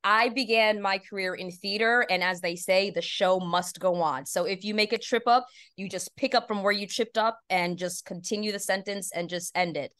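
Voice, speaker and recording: A woman's voice: medium pitch, normal speed, few pauses, fairly bright tone, no room sound. Earnest, upbeat woman guest, close dry sound. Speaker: podcast guest, PA announcer and voice actor. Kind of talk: conversational interview answers.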